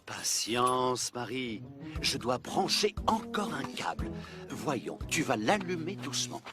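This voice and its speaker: Lively, fussy cartoon handyman dad. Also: medium pitch, slow, music in the background. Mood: patient. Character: flustered handyman dad, patient and cautious. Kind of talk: French-dubbed children's cartoon acting.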